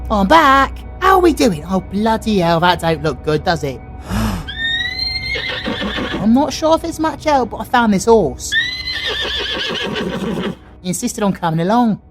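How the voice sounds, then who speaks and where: A woman's voice: mid-range voice, sing-song, measured pace, pauses often, loud music behind the voice, low-quality recording. A woman voicing a chatty, breezy wandering traveller in a fantasy audio drama. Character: cheerful wandering traveller with a horse.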